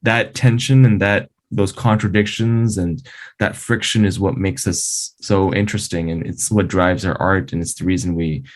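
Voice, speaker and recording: Low-voiced man, composer guest, thoughtful and filler-heavy casual talk. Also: fairly even intonation, normal speed. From Canada. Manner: thoughtful, many fillers like "you know" and "like".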